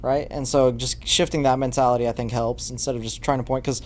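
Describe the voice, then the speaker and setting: A man around forty, a pastor telling stories in a relaxed podcast conversation. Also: mid-range voice, quick pace, slight background noise. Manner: conversational, storytelling, self-deprecating. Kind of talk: casual chat.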